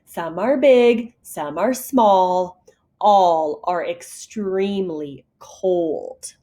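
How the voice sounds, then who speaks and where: A woman's voice: lowish voice, very slow, warm tone. A woman voicing a genial, long-winded male factory manager in a storybook, polite and presentational. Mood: lecturing.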